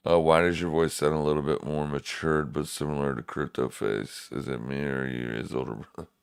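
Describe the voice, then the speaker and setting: A man's voice: a bass voice, steady tone, fairly quick, gravelly, clear, resonant tone. A man with a smoker's voice giving casual, laid-back live market commentary to viewers as a streaming trading host.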